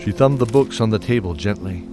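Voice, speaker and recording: A male narrator with a low voice tells a fantasy tale. His scripted delivery is measured, with dramatic pauses. Music plays clearly underneath.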